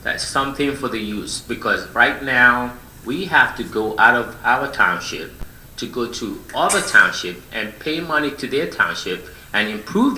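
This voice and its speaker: A man resident speaking during public comment at a township council meeting, impassioned and halting, pleading for the youth. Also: lowish voice, sharp tone, roomy sound, a little hiss or hum, low-quality recording.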